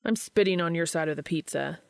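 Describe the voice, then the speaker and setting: A woman's voice: on the low side, fairly quick, creaky, no echo. A woman voicing a dry, sarcastic young man who gets flustered, in a story reading. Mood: flustered, irritated.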